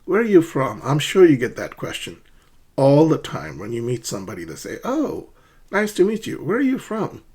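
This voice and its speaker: A man's voice: on the high side, wildly swooping pitch, normal speed, a little mellow, slightly rough. A man teaching an English lesson, patient and encouraging, often checking in with "right?" and acting out sample questions. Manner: acts out sample questions with rising intonation. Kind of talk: ESL lesson.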